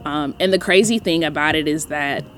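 A young woman with a Northeastern accent and a low voice talks in a casual podcast chat. She is upbeat and chatty and leans on "um" between thoughts. Faint music sits under the conversation. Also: normal speed, a bit bright. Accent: Northeastern US with Southern phrasing. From North Carolina. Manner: enthusiastic, chatty, many ums.

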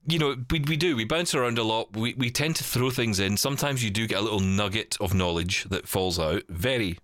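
A man's voice: lowish voice, brisk, a bit bright, dry recording, studio quality. A Scottish man hosting a podcast in a casual, chatty style, joking and poking fun at himself. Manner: jokey, self-deprecating. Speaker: podcast host. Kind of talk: casual banter chat.

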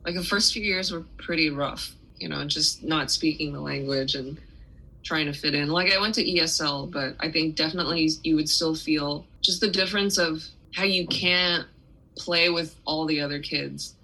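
Woman from Taiwan, young adult, low voice, bright edge, casual rambling answers, lots of "like". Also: fairly even intonation, normal speed, breathy edge, rough recording. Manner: chatty, many restarts and "like" fillers. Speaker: musician guest.

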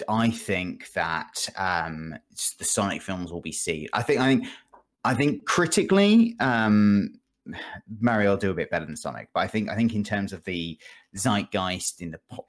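Low-voiced man in casual podcast chat. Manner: rambling, dry joking, swears freely. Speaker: podcast host. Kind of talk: casual chat about games and films. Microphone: close desk USB microphone.

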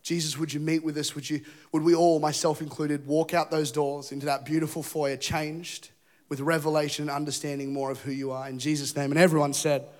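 Young Aussie-accented male preacher, high voice, playful and self-mocking, a little rough in the throat. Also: level tone, a little fast. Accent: Australian. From England. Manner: jokey, self-deprecating, acts out voices. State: voice a bit rough. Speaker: pastor. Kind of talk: humorous sermon. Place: church auditorium.

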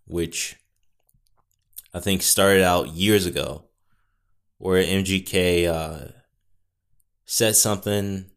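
Solo podcast host, young man with a low booming voice; loose, wandering delivery full of wry asides. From Northwest Indiana. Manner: rambling, self-correcting, wry asides. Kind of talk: casual solo podcast chat. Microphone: studio podcast mic.

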